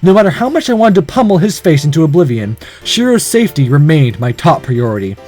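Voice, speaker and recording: Animated man narrating a visual novel. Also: fairly high pitch, brisk, faint music behind. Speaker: channel host reading a visual novel aloud. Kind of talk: dramatic first-person narration.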